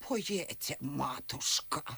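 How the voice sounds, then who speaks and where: An actress playing a weary, frail old countess with a husky voice and labored drawl in a theatrical radio play. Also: female voice, extremely low pitch, lively intonation, slow, sharp tone, no room sound, heavily acted.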